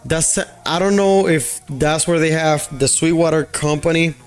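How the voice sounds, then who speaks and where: A man from Puerto Rico talks loosely to his livestream chat, his voice sitting a little higher than most men's. He rambles and thinks out loud, circling back with fillers and restarts. Soft music plays faintly behind him. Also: measured pace, clear, resonant tone. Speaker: livestreaming day trader. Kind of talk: casual livestream chat with viewers. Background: faint background music.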